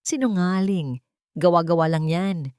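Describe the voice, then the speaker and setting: A woman's voice: lowish voice, unhurried, a little mellow, clean tone, no echo, clean studio sound, lightly acted. Woman playing a cold, scheming rich matriarch. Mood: defiant.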